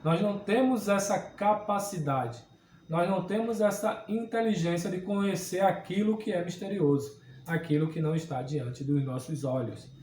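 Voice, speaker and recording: A man with a high-pitched voice gives an earnest Bible lesson. He teaches at an unhurried pace and keeps checking in with his class. He is recorded from some distance in an echoey room, with a faint hum of ambience behind him. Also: darkish tone. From southern Brazil. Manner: earnest teaching, frequent tag questions. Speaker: Sunday school teacher. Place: church room.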